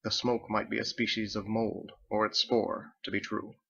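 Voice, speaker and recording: Man playing a gravelly, musing old-fashioned ship's captain. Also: on the low side, little pitch movement, fairly bright tone, muffled recording, full character voice. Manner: ruminating, unhurried, bookish phrasing. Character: educated, drowsy, pipe-smoking ship's captain, musing.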